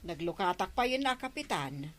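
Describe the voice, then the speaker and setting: A woman's voice: fairly low pitch, sing-song, normal speed. A woman with an Ilocano Filipino accent playing a no-nonsense village councillor who runs a grocery, in radio comedy style. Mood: proud, brisk. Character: brisk, no-nonsense female village councillor running a grocery store. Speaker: radio drama actress. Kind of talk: broad radio comedy acting.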